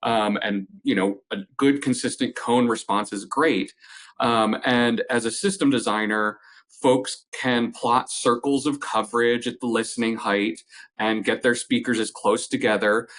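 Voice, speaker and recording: A man giving a conversational, measured technical explanation as a product expert in an interview, restating points for emphasis. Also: mid-range voice, steady tone, a bit bright. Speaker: company representative, audio product expert.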